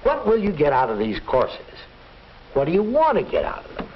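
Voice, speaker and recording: Animated advising father. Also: on the high side, moderate pace. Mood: probing, advising. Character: probing, advising father of a teenage boy. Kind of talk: 1950s instructional film acting. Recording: muffled old film soundtrack, faint noise.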